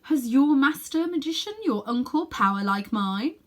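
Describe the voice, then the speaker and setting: A woman's voice: sits high, animated, moderate pace, pitched above the performer's own voice. A woman reading a children's storybook, voicing a proud, ruthless sorceress queen with haughty, emphatic, declamatory delivery. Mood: haughty, threatening.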